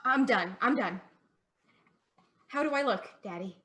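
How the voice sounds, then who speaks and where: An actress plays a sheltered young daughter, eager and a little needy. Her voice is high, bright and forceful, with a girlish, pestering way of talking, as in a small stage play on a video call. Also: animated, unhurried. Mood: coaxing. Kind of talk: one-act play performed on a video call.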